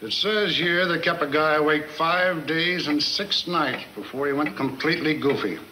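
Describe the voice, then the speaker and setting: An American actor playing a taunting police interrogator in a 1940s film serial. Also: male voice, fairly high pitch, normal speed, lightly acted. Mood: needling. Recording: noisy old film soundtrack.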